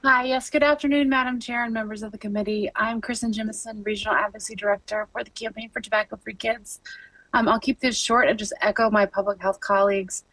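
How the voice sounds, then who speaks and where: A woman's voice: fairly high pitch, fairly even intonation, fast, fairly bright tone, dry recording, poor audio. A woman giving brief, scripted testimony over a video call. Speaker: advocacy director testifying. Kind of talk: remote legislative testimony.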